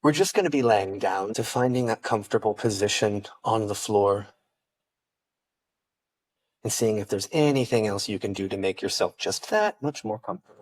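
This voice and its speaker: A man teaching yoga, leading a guided meditation with gentle, unhurried instructions and pauses. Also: fairly low pitch, far from the mic.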